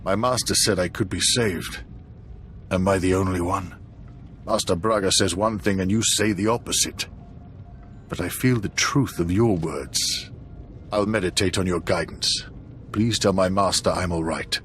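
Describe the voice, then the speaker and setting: An actor playing a tormented, repentant former dark warrior in a video game cutscene, speaking gravely, as if confessing. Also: male voice, sits low, unhurried, slight background noise. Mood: troubled. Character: tormented repentant dark Jedi, former Imperial, guilt-ridden man. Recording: game music under the voice.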